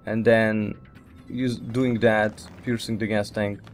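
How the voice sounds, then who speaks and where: A man's voice: lowish voice, flat delivery, slow, a little hiss or hum. A man giving casual let's play commentary as he plays a video game. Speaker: gamer.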